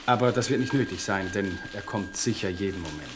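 An actor playing a correct, measured male bank clerk with a dry manner in a German radio drama. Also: on the low side, over music, natural voice. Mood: deferential. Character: deferential male bank clerk, bossy toward juniors.

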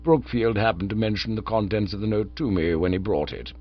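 A man putting on a low, soft-spoken butler. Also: brisk, lightly acted. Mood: deferential. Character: deferential male butler, calm and measured. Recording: dull lo-fi with mains hum.

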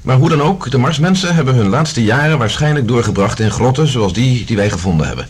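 A man's voice: mid-range voice, animated, fairly quick, breathy edge. A man acting a sober, cautious professor giving formal reports in a science-fiction radio drama.

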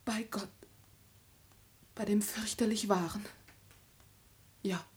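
An actress playing a sorrowful but resolute young daughter in a classical radio tragedy, hushed, solemn and restrained. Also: female voice, mid-range voice, steady tone, takes it slowly, lightly acted. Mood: solemn, pained.

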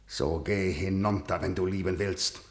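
A man's voice: deep voice, steady tone, unhurried. An actor playing a harsh, imperious king in a verse radio drama, declaiming with scorn. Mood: cold scorn. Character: harsh, scornful male tyrant king condemning his rebellious niece. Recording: echoey, reverberant room.

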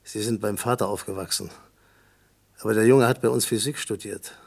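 Gravelly, matter-of-fact husband. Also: male voice, lowish voice, steady tone, moderate pace, darkish tone. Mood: sober, reflective. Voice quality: gravelly, husky. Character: gruff, sober-minded husband who writes memoirs. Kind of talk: German radio play acting.

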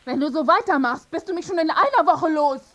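Reproachful, high-voiced wife. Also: female voice, animated, brisk, a bit bright, lo-fi sound. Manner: reproachful, earnest. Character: exasperated, worried, nagging wife of a jobless man. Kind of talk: German radio drama acting.

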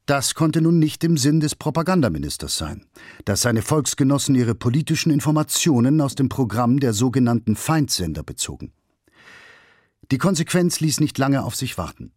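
Measured male documentary narrator, dry studio sound. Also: medium pitch, big pitch swings, darkish tone, no echo. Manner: measured, factual, formally articulated. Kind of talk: scripted narration.

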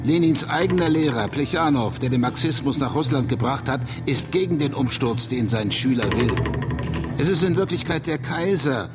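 A man's voice: medium pitch, expressive, moderate pace, over music, lightly acted. An actor playing a grim, pious anti-revolutionary Russian man who argues emphatically in a German radio drama. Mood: accusing. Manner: declamatory, emphatic.

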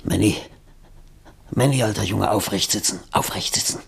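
An actor in a radio play as a dying, feverish, broken writer, husky and gravelly, speaking in halting fragments. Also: male voice, lowish voice, full character voice. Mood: weary, bitter. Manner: halting, murmuring, fragmented speech.

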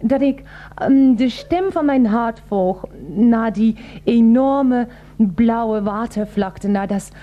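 An actress playing a dreamy, earnest woman who speaks haltingly in a Dutch radio play. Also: on the high side, highs cut off. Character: Nancy, dreamy earnest German woman living abroad.

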